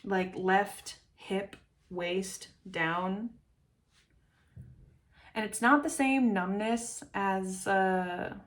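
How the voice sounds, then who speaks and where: A woman giving an intuitive reading straight to camera, meandering and chatty, musing aloud as images come to her. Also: mid-range voice. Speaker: tarot reader.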